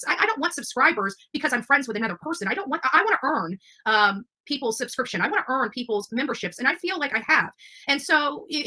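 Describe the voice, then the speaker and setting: A woman's voice: sits high, bright, forceful tone. A woman hosting a channel, talking casually to her audience in a rambling, emphatic, defensive way. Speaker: livestream channel host. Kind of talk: casual livestream monologue to audience.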